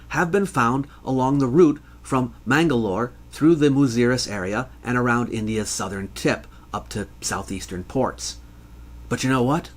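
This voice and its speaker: A man with a mid-pitched voice delivers scripted educational narration. His delivery is measured and scholarly, like a host teaching history to viewers.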